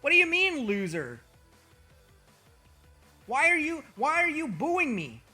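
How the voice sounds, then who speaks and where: A man streaming as a VTuber, joking and trash-talking with over-the-top, profane bragging, his voice a little hoarse. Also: very high voice, huge pitch swings, slow, sharp tone, soft background music. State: slightly hoarse. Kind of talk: comedic livestream banter.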